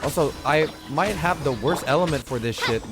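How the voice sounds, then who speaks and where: Joking, slangy streamer with very animated pitch. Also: brisk, over loud music. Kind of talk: casual game livestream commentary.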